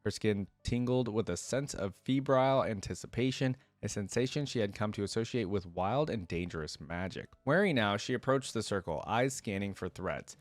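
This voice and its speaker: Low-voiced man, close dry sound. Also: no echo. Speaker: reaction video host reading a story aloud. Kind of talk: story narration with casual fan commentary.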